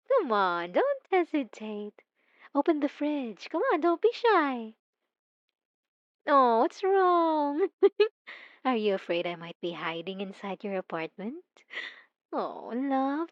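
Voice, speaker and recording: Woman voicing a possessive young stalker for ASMR. Soft, intimate, slow and teasing. Dry, slightly muffled sound. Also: sits high, sing-song, no room sound, heavily acted. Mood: coaxing. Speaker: narrator speaking to the listener. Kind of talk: ASMR roleplay.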